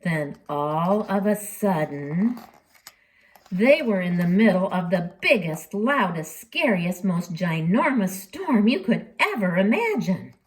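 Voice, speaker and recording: A woman reads a storybook aloud for children. Her pitch is lively and varied around a middle register, and she tells it dramatically, pausing deliberately and leaning on key words. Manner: dramatic, with deliberate pauses and emphasis. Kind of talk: expressive storybook reading for children.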